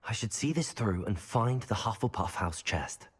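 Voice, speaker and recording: A man's voice: on the low side, animated, moderate pace, faint music behind, close to an everyday voice. A man voicing a curious, determined teenage student wizard in a video game, musing aloud to himself.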